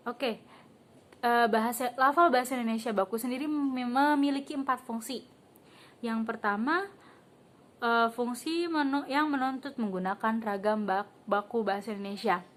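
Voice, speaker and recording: A young woman student teacher leads a peer-teaching lesson in a classroom, her voice sitting a little high. She keeps it casual with filler words, tossing questions to the class and naming students to answer. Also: normal speed. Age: young adult. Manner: asks the class questions and calls on students by name.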